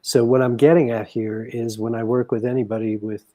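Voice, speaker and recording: Measured man with a mellow, dark voice. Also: medium pitch, level tone, muffled recording. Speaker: grief counselor. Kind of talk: guiding counseling conversation.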